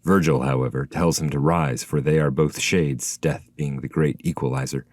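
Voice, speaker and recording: A man's voice: rumbling low, steady tone, moderate pace, no room sound, clean studio sound. A man giving a scripted literary reading of narrative poetry as its narrator.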